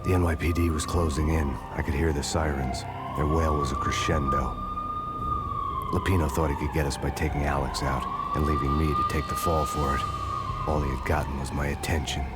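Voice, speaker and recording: Bitter noir cop, super low flat deadpan voice, game music under it. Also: male voice, brisk. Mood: grim. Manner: flat, dry, cynical deadpan. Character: weary hardboiled male cop narrating, grim. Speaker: narrator and hero of the game. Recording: game soundtrack music behind.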